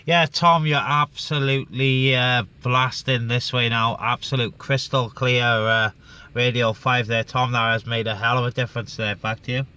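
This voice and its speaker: Chatty man, bright clear voice. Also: medium pitch, flat delivery. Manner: chatty, with many filler pauses. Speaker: CB radio operator. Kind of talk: casual radio chat and vlog talk. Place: inside a parked vehicle.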